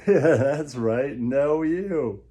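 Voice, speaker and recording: A man hosting a comedy show in a slow, relaxed voice. He rambles in a crude, mocking way and circles back to the same catchphrases. His tone stays mellow and mid-pitched. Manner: crude, mocking, repetitive, laughs at own jokes. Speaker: comic host of an online show. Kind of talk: rambling comic monologue with repeated catchphrases.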